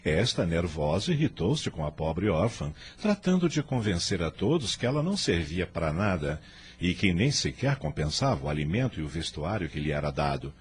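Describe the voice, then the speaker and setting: A man's voice: low voice, big pitch swings, normal speed, slight background noise. A man narrating a radio drama from a script in a formal, literary storytelling manner.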